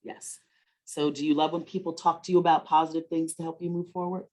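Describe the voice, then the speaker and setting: A woman's voice: sits low, level tone, fairly quick, darkish tone. A woman hosting a webinar for children, talking conversationally in an encouraging, teacher-like way with leading questions. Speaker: host of a children's story time webinar.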